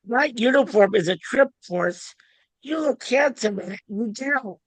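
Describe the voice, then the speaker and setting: Woman as free-spirited young hippie woman, tender, evasive, higher voice, dry sound, table read. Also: lively intonation, a little slow, no echo. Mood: warm.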